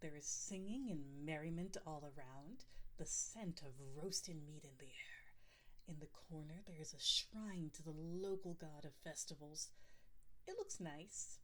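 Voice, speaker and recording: A woman's voice: low-pitched, unhurried, fairly bright tone. A woman playing a possessive, insistent yandere girlfriend who runs a tabletop game in an ASMR roleplay, rambling with defensive asides and close to the mic. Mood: playful, smitten narrating. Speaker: game master. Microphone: close binaural microphone.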